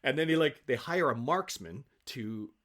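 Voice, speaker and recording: A man's voice: mid-range voice, expressive, normal speed, fairly bright tone. Enthusiastic man telling anecdotes. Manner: enthusiastic, self-confessed film nerd. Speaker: guest, stage actor.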